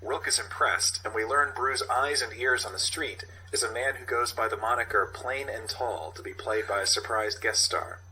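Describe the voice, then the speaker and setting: A man's voice: mid-range voice, normal speed, hardly any pauses, bright, forceful tone, a little hiss or hum, poor audio. A man co-hosting a comedy podcast, chatting casually and reading a script aloud. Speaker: podcast co-host. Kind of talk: casual comic chat and script read-aloud.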